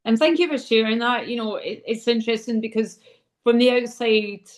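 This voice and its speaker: A woman's voice: a little high, moderate pace. A woman coach hosting an interview, asking questions and affirming her guest with brief acknowledgements. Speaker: sober coach and host.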